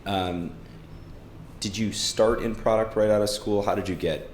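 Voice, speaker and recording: A man's voice: lowish voice, steady tone, measured pace, darkish tone, reverberant, slight background noise. A man hosting an interview, introducing a guest and asking questions in a friendly way with many fillers. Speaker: host. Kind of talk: conversational interview.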